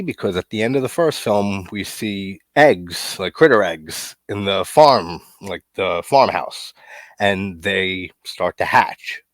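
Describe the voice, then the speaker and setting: A low-pitched man in his thirties talks through a horror movie review in a loose, rambling way, leaning on fillers and the odd curse. His nose is stuffed up from a cold. The recording is close and dry, with no room sound. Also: no room sound. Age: 30s. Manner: rambling, filler-heavy, swears casually. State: congested, getting sick. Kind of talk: casual movie commentary.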